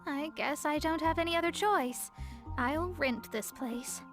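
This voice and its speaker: A woman's voice: sits high, takes it slowly, music in the background. A woman playing a proud young female astrologer in an anime-style game, formal and dramatic but easily flustered. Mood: indignant, resigned. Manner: haughty, formal wording, flustered stammering.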